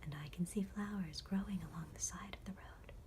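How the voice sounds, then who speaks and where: A woman voicing an easygoing, contented male grasshopper in a gentle whisper for an ASMR bedtime storybook. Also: on the low side, fairly quick, a little hiss or hum, natural voice. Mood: calm, content.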